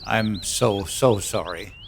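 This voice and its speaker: A man playing an uneasy, apologetic mailman in an audio drama, speaking in a halting, hesitant way. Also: on the low side, vocal fry, faint music behind. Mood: nervous, sorry. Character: apologetic, uneasy mailman bearing grim summonses.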